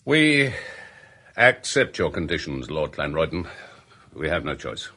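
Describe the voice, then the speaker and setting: A man playing an intense young treasure seeker in a radio drama, speaking eagerly and excitably. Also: very deep voice, unhurried, slightly rough, full character voice. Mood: earnest.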